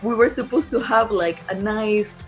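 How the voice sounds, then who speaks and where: Woman musician, casual animated storyteller, mid-pitched voice, music underneath. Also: moderate pace, poor audio. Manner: chatty, acts out reported speech. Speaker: band musician being interviewed. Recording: music playing behind the voice.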